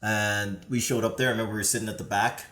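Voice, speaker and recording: A man from Canada with a somewhat low voice tells stories in a casual, animated way, jumping up in energy now and then. His voice carries a roomy echo. It sounds like relaxed podcast chat. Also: fast. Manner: lively storyteller, swings into loud animated bursts. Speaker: podcast co-host, here as guest.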